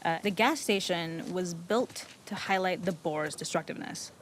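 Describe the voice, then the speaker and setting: A woman's voice: lowish voice, moderate pace, a little hiss or hum. A woman working as a game level designer gives explanatory developer commentary on her work.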